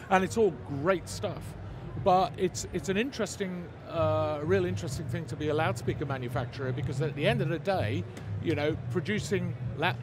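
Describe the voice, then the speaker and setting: A man with an English accent answering interview questions at a trade show, stopping and restarting often. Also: fairly high pitch. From England. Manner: hesitant, many repeated starts. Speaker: speaker company representative. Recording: noisy trade show floor.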